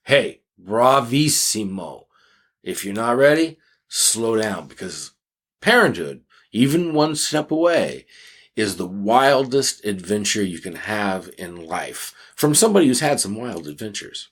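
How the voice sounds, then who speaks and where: A man co-hosting an advice podcast, speaking conversationally with emphatic, deliberate phrasing and dramatic pauses. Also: fairly low pitch, very animated, fairly bright tone. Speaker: podcast co-host.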